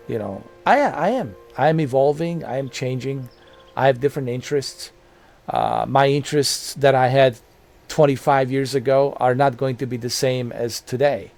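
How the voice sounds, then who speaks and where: A man in his mid-forties talks casually to his channel's viewers, circling back and repeating himself, with plenty of "um" and "you know." His voice sits at an ordinary middle pitch. Soft music plays quietly behind him. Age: 40s. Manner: rambling, repeats phrases, many fillers. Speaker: gaming channel host. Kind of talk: casual talk to camera.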